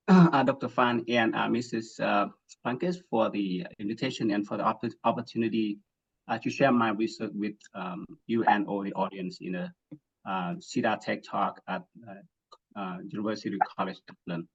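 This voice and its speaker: A man from Vietnam giving an academic webinar talk, halting with many fillers and restarts and frequent throat clearing. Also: fairly low pitch, muffled recording. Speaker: guest speaker, assistant professor.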